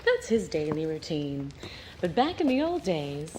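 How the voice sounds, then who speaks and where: A woman's voice: deep voice, sing-song, a little slow, darkish tone, a little hiss or hum. An actress playing a doting older woman caretaker in a game cutscene, sweet and fussing. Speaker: game character.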